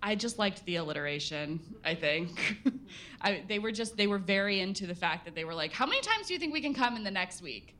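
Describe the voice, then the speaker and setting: A woman's voice: mid-range voice, big pitch swings, fairly quick, sharp tone. A woman reporter answering audience questions at a live listening event, self-deprecating and hesitant with frequent fillers. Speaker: workshop student reporter. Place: listening party in an event space.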